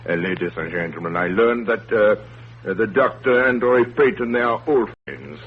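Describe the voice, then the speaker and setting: An actor playing a courteous, commanding, self-important detective in 1930s radio drama, with pompous, formal, deliberate delivery. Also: male voice, on the low side, slightly rough. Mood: explanatory. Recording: tinny, noisy, humming 1930s radio broadcast.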